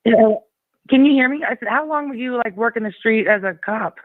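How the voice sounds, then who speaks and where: A man's voice: very high voice, brisk, no room sound. A man calling into a live show, insistent and rambling, talking over the host. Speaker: caller. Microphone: phone. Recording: phone line, dry.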